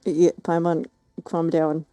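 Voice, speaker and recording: Woman game streamer, relaxed soft-edged tone, slightly low, dry teasing commentary, casual, faint music behind. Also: slow, smooth, dry recording. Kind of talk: casual live reaction commentary.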